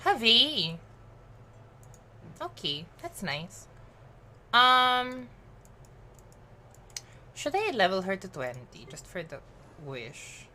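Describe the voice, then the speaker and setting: Lively Filipino woman chatting while streaming. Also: mid-range voice, extremely slow, pauses often, a little hiss or hum. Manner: chatty, switching between Tagalog and English mid-sentence; giggly, thinks aloud while playing. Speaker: game streamer. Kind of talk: casual live-stream game commentary.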